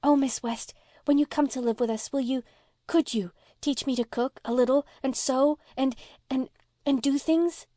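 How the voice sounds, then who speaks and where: A woman's voice: high-pitched, steady tone, normal speed, mellow, dry recording, studio quality, voice pushed up, lightly acted. A woman voicing an earnest, anxious and sweet little girl in a storybook reading, with a breathless, pleading manner. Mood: shy eager. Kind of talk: audiobook character voice.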